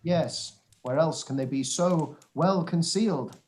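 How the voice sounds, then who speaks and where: A man playing a weary, dryly sardonic older country gentleman and father in a radio play. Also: fairly high pitch, close to an everyday voice. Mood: weary, subdued. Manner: dry, wry, deliberate. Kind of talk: radio play live read.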